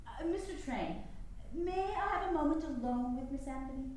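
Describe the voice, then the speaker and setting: An actress plays a practical, courteous suffragist in a stage drama. Her high voice comes from far off in a very echoey hall. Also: expressive, normal speed, a little mellow. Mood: urgent, pragmatic. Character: pragmatic, polite woman suffrage campaigner. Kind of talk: staged play reading. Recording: distant, very echoey hall, faint noise.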